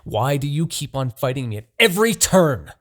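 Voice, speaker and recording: A man's voice: mid-range voice, big pitch swings, moderate pace, fairly bright tone. Man voicing an imperious demon king, wildly swooping delivery. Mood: exasperated. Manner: theatrical, brooding, swinging between murmurs and outbursts. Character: imperious, obsessive male demon king, easily exasperated villain. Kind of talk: dramatic fantasy audio roleplay.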